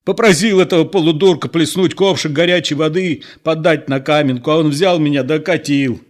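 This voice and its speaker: A man's voice: a little high, fairly quick, voice pushed up, lightly acted. A man voicing a naive, flustered village man who makes bewildered excuses in an audiobook. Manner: bewildered, pleading, self-justifying.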